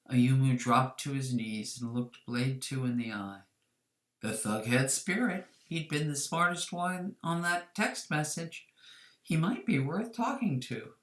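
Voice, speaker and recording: A woman's voice: low-pitched, roomy sound. A woman reading a scripted story as its author, with dramatic delivery. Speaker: author reading her own short story.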